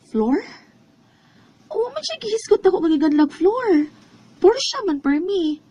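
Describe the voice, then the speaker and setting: A woman's voice: very high voice, big pitch swings, a little slow, warm tone, heavily acted. An actress playing an evasive young girl, a romantic rival. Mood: defensive. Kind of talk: Filipino radio drama acting.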